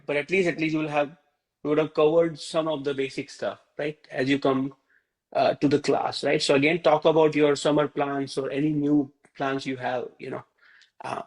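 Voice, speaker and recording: A man from India leads an online Hindi class over a video call. He rambles and fills his talk with "you know" and "like". His voice sits fairly high for a man. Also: rough recording. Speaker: Hindi teacher.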